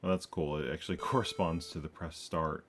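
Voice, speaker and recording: Tired, low-voiced man coding aloud. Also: fairly even intonation, a little fast, a bit bright, soft background music. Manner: thinking aloud, many ums and uhs, tired. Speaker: programming tutorial host. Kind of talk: casual screen-recorded coding walkthrough.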